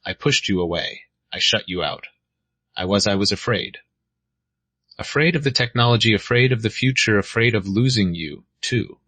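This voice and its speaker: A man playing a weary police detective and regretful father in a screenplay read, with a husky voice. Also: fairly low pitch, expressive, normal speed, pushed and bright, dry recording, poor audio. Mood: remorseful.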